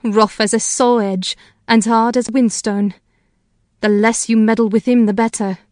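A kindly older housekeeper woman, as a male reader puts her on, chatty and deferential, calling her listener sir at every turn. Her voice sits well above the reader's own, raised into a soft motherly register. It comes across close and dry, like a storybook narration. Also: sits high, level tone, moderate pace, no echo, pitched above the performer's own voice, natural voice. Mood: gossipy, respectful. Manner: respectful, addresses the listener as sir. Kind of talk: audiobook character voice.

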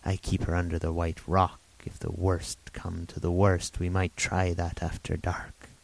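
A man voicing a half-wild marooned old sailor who rambles slyly in rough sailor grammar, in an audiobook reading. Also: low voice, little pitch movement, a little slow, no echo. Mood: rambling. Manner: rambling, sly, confiding, with rustic sailor grammar. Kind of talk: audiobook character voice.

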